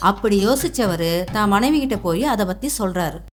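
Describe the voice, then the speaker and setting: A woman's voice: mid-range voice, expressive, fairly quick. Female storyteller, mid-range voice, scripted folktale narration, faint background music.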